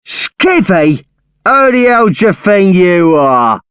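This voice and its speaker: A man with a British accent playing a rough, cheeky, slangy gang henchman in a video game. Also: high-pitched, sing-song, slow pace, fairly bright tone, dry recording, clean studio sound, heavily acted. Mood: brash, mocking. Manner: slangy, brash. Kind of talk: video game character acting.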